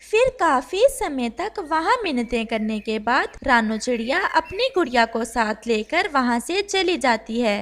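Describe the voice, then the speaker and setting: A woman's voice: a little high, very animated, a little slow, few pauses, fairly bright tone, slight background noise. A woman giving scripted narration for a cartoon children's story.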